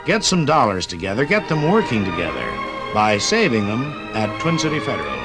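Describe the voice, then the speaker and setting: Old-time radio announcer guy, polished n dramatic, pitch goes all over, music behind him. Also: huge pitch swings, a little fast. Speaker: narrator and announcer. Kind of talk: 1950s radio narration.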